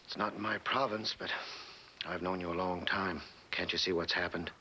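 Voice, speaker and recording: An actor playing a firm but concerned Hollywood agent in a 1960 TV drama, speaking in an earnest, insistent, measured way. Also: male voice, low voice, steady tone, pushed and bright, slightly rough, exaggerated voice. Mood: grave, sympathetic. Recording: hissy old TV soundtrack.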